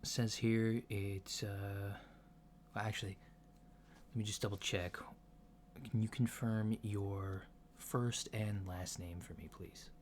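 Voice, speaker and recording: A man acts out a courteous alien doctor for an ASMR checkup. The voice is soft and fairly low, moving very slowly through methodical questions with hesitant, reassuring pauses. Noise is clearly audible behind the lo-fi recording. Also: steady tone. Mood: calm, reassuring. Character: polite, unhurried human-looking alien doctor giving a checkup. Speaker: ASMR performer. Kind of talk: soft-spoken ASMR roleplay.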